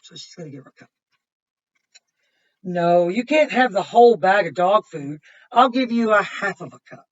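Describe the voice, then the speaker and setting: A woman's voice: mid-range voice, expressive, normal speed, long pauses. Distant, muffled woman teacher reading aloud. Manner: simple explanatory phrasing, pointing things out, repeating and asking questions. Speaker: kindergarten teacher. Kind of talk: reading a picture book aloud to children with explanatory asides.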